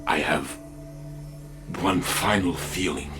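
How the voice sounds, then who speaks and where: An actor playing a grave, troubled robotic warrior in a video game, gravelly, with a halting, weighty, dramatic delivery. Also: male voice, low voice, over music. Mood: anguished. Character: grave, troubled male robotic warrior searching his lost past.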